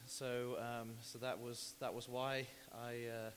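A man preaching an informal church sermon as a pastor, conversational and emphatic, his voice slightly croaky from recovering from an illness. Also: medium pitch, flat delivery, slow. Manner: conversational, hesitant asides mixed with emphatic repetition. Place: church hall, preaching to congregation. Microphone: church microphone. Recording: hissy, very reverberant hall recording.

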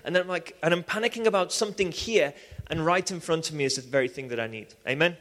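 Liverpool guy preaching, kinda high voice, lots of ums and restarts, big echoey church hall. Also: quick pace. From England. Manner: animated, many restarts and "um"s. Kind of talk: sermon with personal testimony. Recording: long hall reverb.

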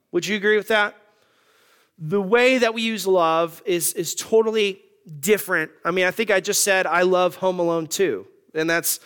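A man's voice: high-pitched, sharp tone. A man in his thirties preaching a sermon on marriage in a conversational, joking way, asking the congregation questions. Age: 30s. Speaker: pastor.

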